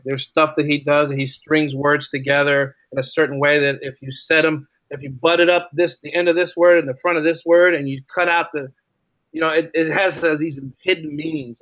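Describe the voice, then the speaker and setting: Man with higher-pitched voice, dull audio. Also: steady tone, fairly quick, fairly bright tone, no echo. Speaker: podcast guest, writer. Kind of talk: casual interview chat.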